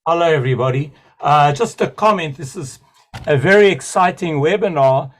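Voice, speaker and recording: Male participant, higher-pitched, eager and digressive, webinar call. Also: expressive, normal speed. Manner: enthusiastic, rambling, digressive. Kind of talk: spontaneous comment in a webinar. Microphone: video call.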